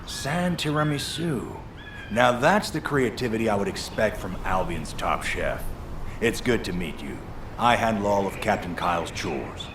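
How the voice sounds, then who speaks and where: Deep, friendly construction worker. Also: male voice, animated, measured pace, roomy sound, noisy background. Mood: friendly, amused. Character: friendly, eager male construction corps veteran. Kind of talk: video game voice acting.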